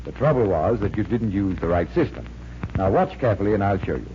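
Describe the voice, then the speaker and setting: An actor as confident magician detective, lively old radio voice. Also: male voice, lowish voice, fast talker, soft background music, close to an everyday voice. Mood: instructive. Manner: explanatory, assured showman. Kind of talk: 1940s radio acting. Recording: muffled, hissy 1940s radio recording.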